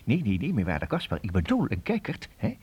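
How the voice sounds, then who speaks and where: An actor playing an excitable, absent-minded, kindly older professor who stammers and repeats himself in a children's radio play. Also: male voice, lowish voice, fairly quick, husky, dull audio, full character voice. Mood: eager, pondering. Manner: hesitant, stammering, repeats words.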